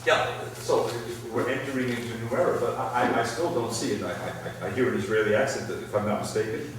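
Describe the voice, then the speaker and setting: A middle-aged man answering audience questions at a public talk, thoughtful and halting, with many restarts. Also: medium pitch. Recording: echoey hall, far from the mic, faint noise.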